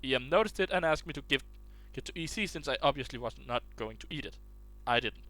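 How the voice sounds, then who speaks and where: A man's voice: mid-range voice, lively intonation, quick pace, a bit bright. A man reading online posts aloud in a casual way, stumbling and restarting words as he goes.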